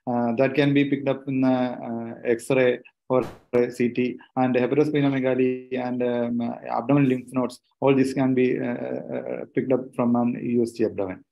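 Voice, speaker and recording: A man in his thirties with an Indian accent, a doctor explaining haltingly as a panelist on a video call. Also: mid-range voice, level tone, creaky. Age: 30s. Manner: halting, hesitant delivery with frequent pauses. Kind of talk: explanatory medical panel answer. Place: small room indoors. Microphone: laptop on a video call. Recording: dull lo-fi video call audio.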